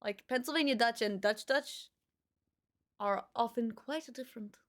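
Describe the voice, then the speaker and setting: A woman's voice: on the high side, unhurried. Chatty woman streamer reading her chat. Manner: chatty, musing, reads out chat. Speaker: livestreamer. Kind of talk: casual chat with viewers.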